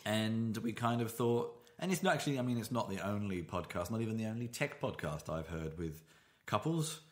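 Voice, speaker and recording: A man's voice: fairly low pitch, animated, brisk. A man hosting a podcast from home, talking casually on one shared mic, rambling and correcting himself with dry humour. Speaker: podcast host. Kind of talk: casual chat. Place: home apartment. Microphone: single shared microphone.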